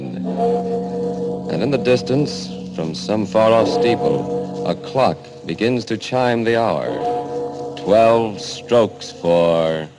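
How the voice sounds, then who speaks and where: Low, ominous male radio mystery narrator. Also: poor audio. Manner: ominous, theatrical, drawn-out. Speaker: narrator and announcer. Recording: old 1940s radio broadcast. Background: loud dramatic music.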